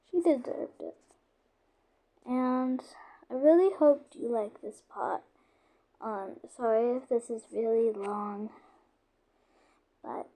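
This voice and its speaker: Slow, soft-spoken woman with a sore, creaky voice. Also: on the high side, rough recording. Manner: soft, often slipping into a whisper; rambling, with frequent "obviously" and restarts. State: sore, lowered voice. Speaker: channel creator narrating a roleplay. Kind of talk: casual first-person roleplay storytelling.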